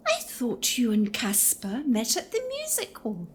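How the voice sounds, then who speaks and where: Woman acting a high-voiced, ladylike ghost. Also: huge pitch swings, unhurried. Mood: prim. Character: prim, fashion-loving lady ghost of the Twenties. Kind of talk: audio drama acting. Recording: faint noise behind.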